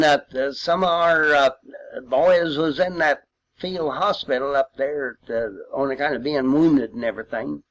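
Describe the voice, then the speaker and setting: A man's voice: on the high side, fairly bright tone, low-quality recording. An elderly man, a war veteran reminiscing informally in a folksy, rambling way with old-time expressions. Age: 80s or older. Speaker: World War II veteran sharing memories.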